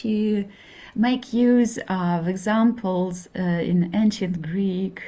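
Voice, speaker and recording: Slow, hesitant woman lecturing. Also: mid-range voice, fairly even intonation, warm tone. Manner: hesitant, many fillers, checks in with okay. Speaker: linguistics lecturer. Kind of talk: introductory university lecture.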